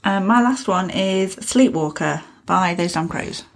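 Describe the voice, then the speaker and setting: This is a young woman co-hosting a relaxed podcast, her voice sitting a little low for a woman. She chats enthusiastically and casually, quick to agree and jump in with her own picks. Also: gravelly. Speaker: podcast co-host. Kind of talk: casual chat.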